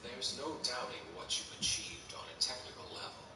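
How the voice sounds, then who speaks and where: A man's voice: medium pitch, expressive, normal speed, noisy background. Distant man, echoey with crowd.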